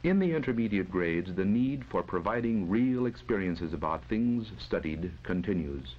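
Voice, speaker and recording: A man giving measured, formal, instructive narration in mid-century educational film style. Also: deep voice, expressive. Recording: dull old educational film soundtrack.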